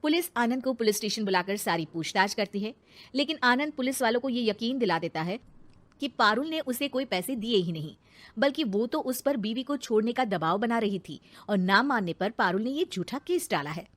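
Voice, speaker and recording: A woman with a fairly high voice narrates a moral tale. Her delivery is scripted, dramatic storytelling. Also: animated, moderate pace, a bit bright.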